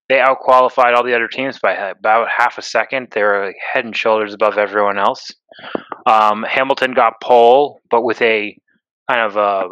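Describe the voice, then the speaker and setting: A man's voice: medium pitch, bright, forceful tone, clear, resonant tone, no room sound, low-quality recording. A man co-hosting a podcast, giving a loose, offhand race recap full of ums and restarts. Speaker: podcast co-host.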